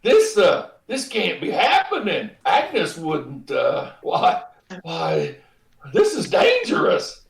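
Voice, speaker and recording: A man plays a blustering, easily flustered boss in a radio comedy. His creaky voice swings up and down in a roomy echo as he sputters and halts through rough grammar. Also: high voice, huge pitch swings, distant mic, exaggerated voice. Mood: nervous. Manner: halting, sputtering, old-fashioned ungrammatical speech. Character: flustered, blustering male boss, henpecked husband. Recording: roomy, echoing sound.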